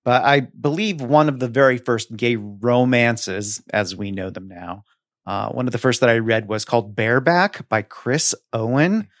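A middle-aged man with an average-pitched voice speaks as a podcast co-host. He reminisces casually about books, measured and articulate, in a very dry recording with no room sound. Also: no room sound.

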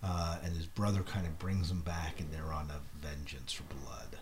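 A man's voice: very deep voice, fairly even intonation, normal speed. A middle-aged American man hosting a podcast, chatting casually about what he has been watching and reading. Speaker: podcast host. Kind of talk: casual chat about shows and films. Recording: clearly noisy podcast recording.

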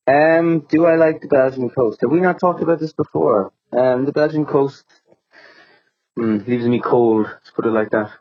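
A man's voice: medium pitch, normal speed. An Irish man answering a casual phone call with dry, wry replies. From Ireland. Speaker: beer writer. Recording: dull lo-fi phone sound.

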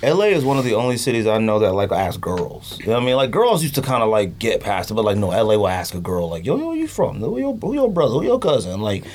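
A man's voice: fairly low pitch, very animated, quick pace, few pauses, darkish tone, slight background noise. A man hosting a casual podcast, talking in slang and telling stories with acted-out quotes. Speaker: podcast host. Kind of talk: casual chat.

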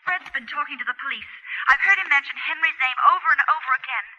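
A woman's voice: high-pitched, quick pace, sharp tone. An actress playing an anxious, earnest married woman urgently confiding in a friend, in 1940s radio drama style. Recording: muffled old radio broadcast, telephone-like tone.

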